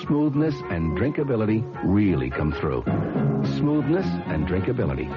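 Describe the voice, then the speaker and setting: A man's voice: on the low side, big pitch swings, moderate pace, over loud music. An American man reading a vintage radio commercial in an emphatic, assured, scripted style. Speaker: commercial announcer. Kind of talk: scripted 1970s radio ad read. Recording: muffled old radio broadcast.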